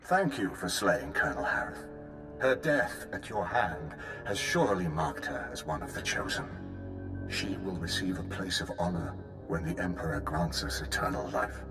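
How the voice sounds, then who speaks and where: A fanatical dark-empire soldier in a video game, deep and solemn. His delivery swings with zeal, and the sound is roomy with music behind it. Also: lively intonation, moderate pace. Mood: solemn devotion. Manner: solemn, zealous. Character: fanatical male cultist soldier devoted to a dark Emperor. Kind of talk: scripted video game acting.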